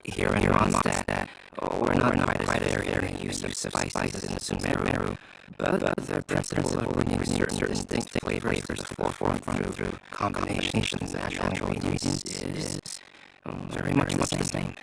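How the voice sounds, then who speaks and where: A man voicing a calm, courteous young alchemist in an anime-style game, measured, precise and formal, with a scientific mind. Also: a bass voice, loud music behind the voice, heavily acted, low-quality recording. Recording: clear noise and ambience behind.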